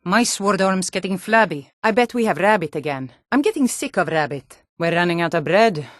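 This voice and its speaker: An actress playing a hardy, proud Nord warrior woman in a fantasy video game, with a blunt, forceful, martial delivery. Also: on the low side, lively intonation, moderate pace, no room sound, clean studio sound, natural voice. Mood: grumbling.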